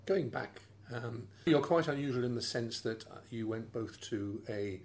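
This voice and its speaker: A man's voice: medium pitch, measured pace. A man interviewing a guest, asking formal, probing questions.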